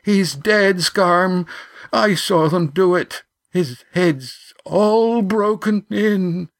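A man's voice: high voice, extremely slow, dry recording, clean studio sound, pitched above the performer's own voice, exaggerated voice. A man voicing a frightened, deceitful young sailor boy in a storybook, with a trembling, stammering, sobbing delivery. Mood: sobbing, pleading. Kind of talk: audiobook character acting.

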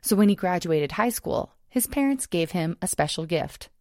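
A woman producer giving measured, scripted podcast narration. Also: fairly low pitch, expressive, a little mellow, no echo, studio quality. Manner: measured, descriptive.

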